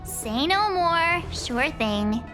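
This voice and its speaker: A woman's voice: high voice, animated, very slow, heavily acted. A woman voicing a perky, breezy young female adventurer in a fantasy game, casual and exclamatory. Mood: cheerful, impressed. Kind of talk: scripted game voice acting. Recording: background music.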